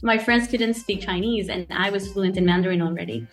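A woman's voice: medium pitch, brisk, soft background music. A young woman from Guatemala, Spanish her first language, chatting as a guest in an interview, laughing often and filling with "like" and "you know". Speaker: guest, startup founder. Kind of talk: casual interview chat.

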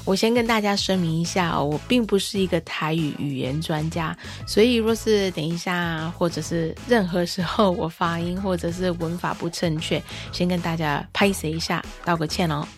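A woman from Taiwan hosts a podcast in a chatty, lightly self-deprecating way, reading a prepared script. Her voice sits on the low side for a woman. Faint music plays beneath her. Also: moderate pace, few pauses. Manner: chatty, self-deprecating, switches between English and Mandarin. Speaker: podcast host. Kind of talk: scripted bilingual podcast narration.

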